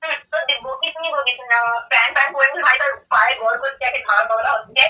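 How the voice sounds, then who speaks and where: A woman's voice: sits high, very fast, pushed and bright. A young woman chatting casually over the phone, questioning and insistent, often repeating herself. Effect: telephone. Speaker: prank call recipient. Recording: dull distant phone line.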